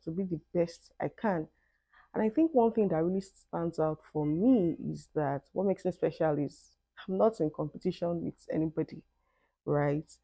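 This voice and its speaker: A young woman with a Nigerian accent talks through questions one by one in an easy, reflective way. Her voice sits low and mellow. The recording is dry and close. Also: no echo, low-quality recording. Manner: thoughtful, conversational, with "I mean" asides. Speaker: vlogger. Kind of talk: Q&A vlog, reading questions and answering.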